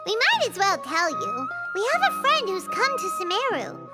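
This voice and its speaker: A woman voicing a tiny, childlike fairy companion in a video game, squeaky, chirpy and opinionated, speaking in exclamations. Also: huge pitch swings, moderate pace, full character voice. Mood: worried. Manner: refers to self in third person, exclamatory. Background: background music.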